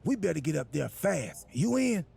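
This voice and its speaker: A high, heavily creaky male voice for a scatterbrained wrestler who fancies himself in charge. He rambles earnestly and takes everything literally, with a husky rasp, in the manner of a game cutscene. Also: sing-song, moderate pace, mellow, heavily acted. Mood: breezy, rambling. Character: goofy, scatterbrained, self-important male wrestler playing boss. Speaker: wrestler acting as general manager. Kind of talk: video game cutscene acting.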